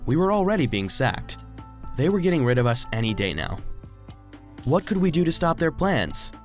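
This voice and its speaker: Expressive earnest robot narrator. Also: male voice, on the high side, moderate pace, faint music behind. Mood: anxious, rueful. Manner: expressive storytelling. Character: earnest, anxious male robot vacuum cleaner. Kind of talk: scripted children's audio drama narration.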